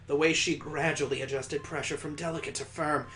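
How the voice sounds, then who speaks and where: Male game streamer, fairly high voice, expressive story reading with wry asides. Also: a little fast. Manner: theatrical, breaks off for wry asides. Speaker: video game let's play host. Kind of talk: expressive read-aloud of a visual novel with asides.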